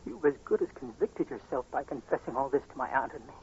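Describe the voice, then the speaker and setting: A man's voice: mid-range voice, fast talker, a little mellow, breathy edge. An actor playing an anguished young male patient, speaking with dramatic intensity in 1940s radio drama style. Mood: accusing. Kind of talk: 1940s radio acting, first-person narration and dialogue. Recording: noisy, muffled 1940s radio recording.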